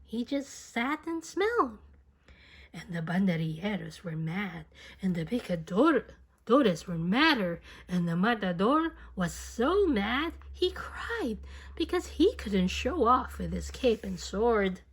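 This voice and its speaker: A woman reading a children's picture book aloud as an expressive storyteller, unhurried and speaking straight to a young listener. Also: medium pitch, breathy edge.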